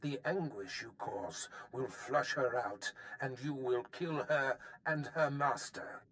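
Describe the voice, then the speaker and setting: A scheming sith master, medium pitch, theatrical and talking down, evil video game boss. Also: male voice, takes it slowly, fairly bright tone. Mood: calculating, wary. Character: scheming, imperious male Sith Lord master, cruel.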